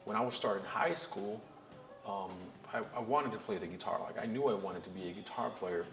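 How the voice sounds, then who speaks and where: A man's voice: fairly low pitch, a little fast, gravelly, a bit bright. A young man from Costa Rica, a blues band frontman, talking earnestly and reflectively in a documentary interview. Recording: roomy echo with faint music.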